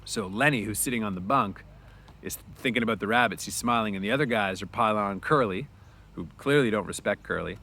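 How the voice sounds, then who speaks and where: A man's voice: lowish voice, a little fast, pushed and bright. A man narrating a story aloud in an informal way, with casual asides.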